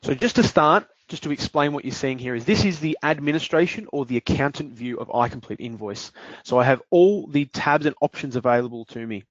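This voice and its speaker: A man presenting and walking through a software demonstration in a steady explanatory way, with occasional fillers. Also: mid-range voice, a little fast, dry recording. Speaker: product presenter. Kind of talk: software demo walkthrough. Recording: dull dry lo-fi audio.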